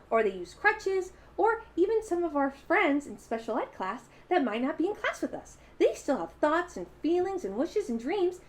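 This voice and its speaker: A woman's voice: high voice, voice pushed up. A woman voicing a cheerful, friendly, childlike bird puppet, chatting earnestly to children at home.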